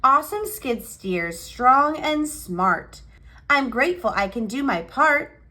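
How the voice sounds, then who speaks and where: Bright-voiced woman reading slowly to children. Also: sits high, lively intonation. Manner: measured, cheerful, addressing little children. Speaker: children's picture book reader and channel host. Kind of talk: expressive read-aloud storytelling for young children.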